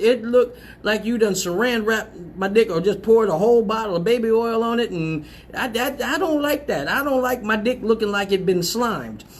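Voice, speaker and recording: High-voiced crude male talk host. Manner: profane, blunt, laughing, repeats words. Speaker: host of a live call-in advice show. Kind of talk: crude casual advice talk.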